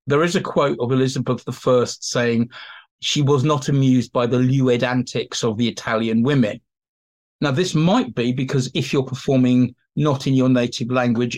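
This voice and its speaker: Man explaining history, mid-pitched voice. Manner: informal, anecdotal storytelling. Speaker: expert guest, theatre historian. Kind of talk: conversational explanation in a history interview.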